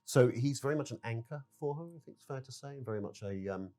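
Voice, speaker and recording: A man explaining game design in an interview, speaking in deliberate, broken phrases with frequent hesitations. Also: mid-range voice, lively intonation, mellow. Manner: halting, deliberate, measured phrases. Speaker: game developer being interviewed.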